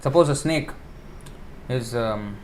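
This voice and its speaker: A man's voice: mid-range voice, takes it slowly, slight background noise. Man giving a chatty scripture talk. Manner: explains with homely examples, stops to correct himself, thinks out loud. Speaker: preacher giving a scripture class. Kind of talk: informal sermon.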